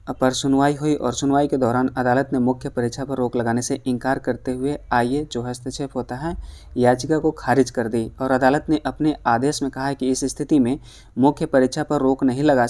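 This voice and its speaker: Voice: medium pitch, darkish tone. News presenter explaining updates. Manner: addresses listeners as friends. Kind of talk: explanatory news commentary for online viewers.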